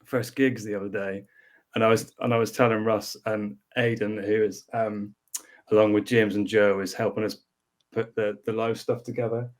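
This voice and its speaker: A man's voice: on the low side, flat delivery, moderate pace. Chatty man from Northern Ireland. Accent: Northern Irish, mild. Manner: chatty, laughing, repeats words while thinking. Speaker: band member guest. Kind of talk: casual chat.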